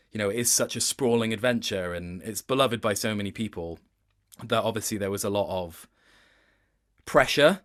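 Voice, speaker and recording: A man with a fairly low voice talks casually as a guest in an interview. He is a voice actor, speaking in an informal, conversational way. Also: a bit bright. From Wales.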